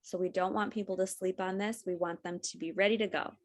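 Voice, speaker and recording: Medium-pitched woman explaining practical details. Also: fairly even intonation, quick pace. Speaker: program representative. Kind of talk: informal explanation of application details. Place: online group video call.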